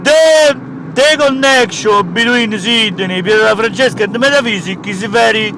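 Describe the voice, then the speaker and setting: A rambling, self-important Italian-accented professor, as a man puts him on, pitched high and declaiming loudly. He wanders from point to point in broken English. Loud street traffic runs under the voice. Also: moderate pace, poor audio. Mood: grandiose.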